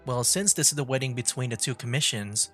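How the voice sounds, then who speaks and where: Casting: male streamer, mid-pitched, relaxed off-the-cuff gameplay reactions, faint music underneath. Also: a little fast. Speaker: game streamer. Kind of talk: casual livestream gameplay commentary. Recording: game music behind the voice.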